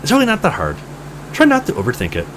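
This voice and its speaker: A man voicing an excitable, playful young woman in a visual novel game. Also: fairly high pitch, quick pace, slight background noise. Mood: playful, teasing.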